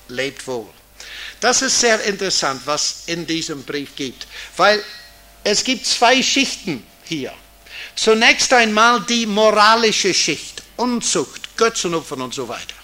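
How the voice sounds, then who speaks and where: Slow, high-pitched man teaching. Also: pushed and bright. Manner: deliberate, didactic, with rhetorical questions and emphasis. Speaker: Bible teacher. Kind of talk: Bible teaching talk to an audience.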